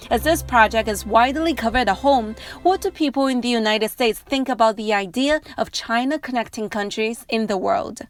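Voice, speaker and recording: Woman, news reporter, clear measured delivery, slightly high voice, music bed. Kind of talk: news narration and street interviews. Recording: voice-over with music underneath.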